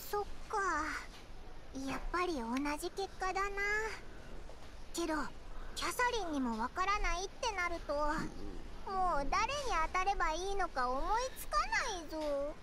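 A woman's voice: extremely high pitch, lively intonation, a little slow, noisy background. A woman voicing a small childlike fairy companion in an anime game, with blunt, boyish informal speech. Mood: dejected. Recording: game music behind the voice.

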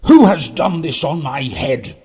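A man voicing an indignant, short-sighted little mole from a children's storybook, with loud, drawn-out, demanding questions. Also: on the high side, animated, crowd noise. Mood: outraged.

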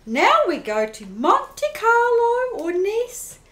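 Lady doing a high party girl vampire for a radio play, really swoopy, echoey room. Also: big pitch swings, slow, fairly bright tone, full character voice. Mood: airy, chatty. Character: party-loving female vampire socialite named Shirley. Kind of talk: amateur radio play acting. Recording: roomy, echoey home recording.

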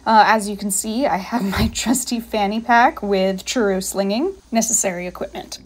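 A woman's voice: mid-range voice, moderate pace. A woman in her thirties, a veterinarian, narrates her travel vlog in an easygoing, chatty way, laughing between phrases. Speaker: veterinarian and channel host.

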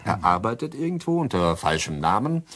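Deep husky guy as a bookish doctor musing and hesitating in a crime radio play. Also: big pitch swings, brisk, fairly bright tone. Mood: speculating. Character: musing bookish doctor, amateur sleuth among friends. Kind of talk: German crime radio drama dialogue.

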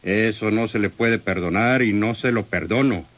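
An actor playing a domineering, smooth-talking male crime boss with condescending manner in an old radio soap opera. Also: on the low side, normal speed, fairly bright tone, slight background noise, natural voice. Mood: indignant. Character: menacing, possessive male crime boss, self-satisfied suitor.

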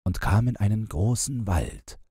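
Deep, slow male storyteller. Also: expressive, mellow, husky, no room sound, clean studio sound. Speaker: audiobook narrator. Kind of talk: scripted fairy-tale narration for bedtime.

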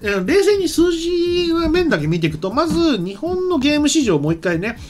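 A middle-aged man giving casual explanatory commentary as a show co-host, haltingly and with frequent self-corrections. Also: extremely high pitch, very animated. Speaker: commentator and co-host, anime and game writer. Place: small studio set, indoors. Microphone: desk microphone. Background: background music.

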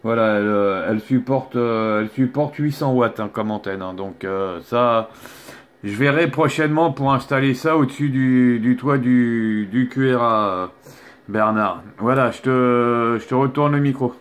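A man talks casually as a radio operator at a middling pitch. He is hesitant, pausing to search for words and tagging his phrases as he goes. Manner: hesitant, often ends phrases with "hein".

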